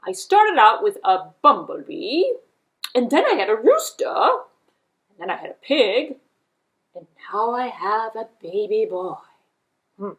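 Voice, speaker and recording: A woman voicing a sly, courteous but bossy male fox in a puppet tale, with emphatic, drawn-out formal phrasing. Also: medium pitch.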